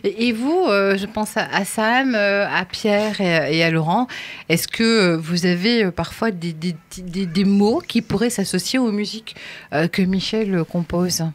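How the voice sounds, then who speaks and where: A woman interviewing a band in casual, teasing chat, with drawn-out fillers. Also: mid-range voice. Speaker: radio interviewer.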